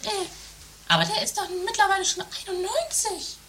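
Very shrill, melodramatic scheming woman. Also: wildly swooping pitch, a little fast, sharp tone, heavily acted. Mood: dramatic complaint. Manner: melodramatic, exaggerated. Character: scheming, theatrical, shrill woman greedy for an inheritance. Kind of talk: improvised trash comedy radio play from the eighties. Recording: noisy lo-fi amateur radio play recording.

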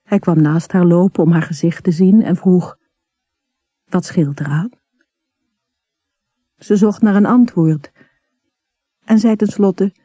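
A woman reading a literary story as scripted narration, in a measured, deliberate way. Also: fairly low pitch, mellow, slightly rough, no echo, dull audio. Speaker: story reader.

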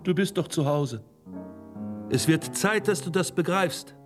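An actor playing a menacing, domineering hypnotist doctor in a radio drama, giving clipped commands with dramatic pauses. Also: male voice, on the high side, over loud music, close to an everyday voice. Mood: silky menace. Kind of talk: German radio drama acting.

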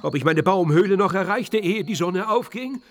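An actor playing a pompous old eagle owl in a children's radio play, formal and theatrically aggrieved. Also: male voice, high-pitched, animated, normal speed. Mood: dramatic indignation.

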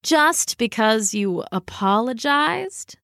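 Woman voicing a slow, purring, suspicious she-cat. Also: fairly high pitch, lively intonation, fairly bright tone, no echo, studio quality, exaggerated voice. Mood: scornful. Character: suspicious, aloof tatty female orange cat. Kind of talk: storybook character voice.

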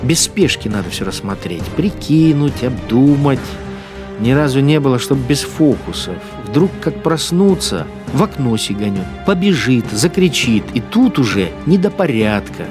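A man's voice: mid-range voice, sing-song, measured pace, over music. An actor in a Russian radio drama playing a cynical, menacing collaborator policeman who muses in mocking, colloquial speech. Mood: matter-of-fact musing. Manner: colloquial, mocking, musing to himself.

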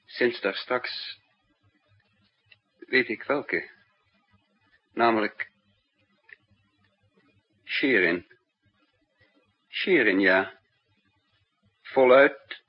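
An actor playing a troubled spy living under a false identity in a 1960s radio drama, brooding and hesitant, with dramatic pauses. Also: male voice, on the low side, husky, heavily acted. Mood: grave, confessing. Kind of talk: 1960s radio drama acting and first-person narration. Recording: muffled, dull 1960s radio recording.

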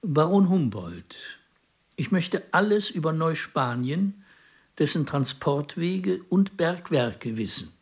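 An actor playing a courteous, shrewd and inquisitive president in a radio drama, speaking in a measured, probing way. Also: male voice, fairly high pitch, sing-song, husky, heavily acted. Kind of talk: German radio drama acting.

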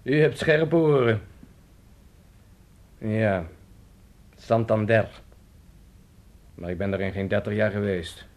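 An actor playing a guarded, middle-aged or older Spanish innkeeper in a radio drama, terse and evasive. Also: male voice, fairly low pitch, takes it slowly, pauses often, a little mellow.

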